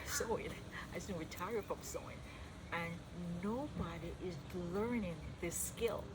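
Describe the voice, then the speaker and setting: Chatty Jamaican woman, noisy recording. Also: medium pitch. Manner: chatty, stops to correct herself. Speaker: vlogger. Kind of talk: reading tips aloud and commenting.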